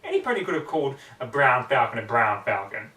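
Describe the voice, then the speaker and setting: A man voicing a brash, cocky young female pegasus pony from a story, hammy, blunt and excitable, in a roomy, distant recording. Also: medium pitch, a little fast. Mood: musing. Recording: roomy echo, distant microphone.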